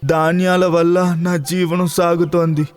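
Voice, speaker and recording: An actor playing a humble, clever farmer who explains respectfully and patiently in a children's cartoon story. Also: male voice, high-pitched, little pitch movement, measured pace, smooth, big-room sound. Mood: humble, explaining. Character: humble, clever male farmer in a fairy tale. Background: background music.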